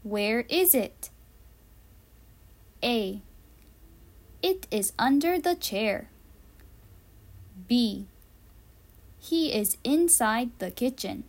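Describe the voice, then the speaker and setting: A woman reading an English listening quiz for learners, carefully enunciated, with pauses between the answer choices. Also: a little high, expressive. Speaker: English teacher.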